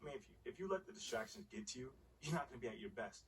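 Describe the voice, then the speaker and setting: An actor playing a confident, easygoing young pro basketball player in a video game cutscene, with laid-back, slangy delivery. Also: male voice, medium pitch, lively intonation, rapid-fire, distant mic, slight background noise, close to an everyday voice. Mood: composed, confident. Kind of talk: naturalistic game cutscene dialogue.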